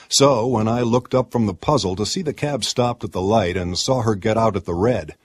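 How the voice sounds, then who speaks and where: A man narrating first-person detective fiction in a measured, deliberate storytelling manner. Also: fairly low pitch, darkish tone, breathy edge, no room sound. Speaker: audiobook narrator.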